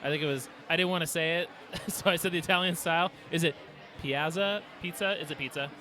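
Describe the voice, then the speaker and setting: A young man with a bright, forward voice that sits a little high for a man. He chats casually and laughs easily while sipping a beer outside at a brewery. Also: fairly even intonation, fairly quick, a faint crowd. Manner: laughs often, jokey and chatty. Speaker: podcast co-host. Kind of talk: casual chat over beers. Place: outdoors at a brewery patio.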